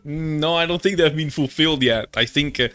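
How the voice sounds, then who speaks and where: A man's voice: a little high, fairly quick, fairly bright tone. A young man livestreaming a game, chatting casually with viewers in a slangy, profane, jokey way. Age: young adult. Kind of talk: casual stream chat and game reactions.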